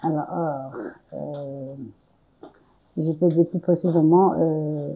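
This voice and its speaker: A woman's voice: deep voice, fairly even intonation, slow pace, husky, phone-quality sound. A young woman from Congo answering interview questions, hesitant, searching for words with many fillers and restarts. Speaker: entrepreneur interview guest.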